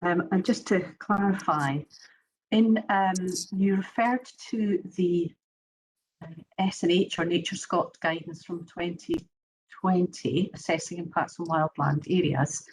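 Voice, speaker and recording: A woman's voice: on the low side, steady tone, a little slow, low-quality recording. A woman chairing an inquiry session, courteous and procedural as she hands over the questioning. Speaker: inquiry reporter. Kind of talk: chairing a hearing.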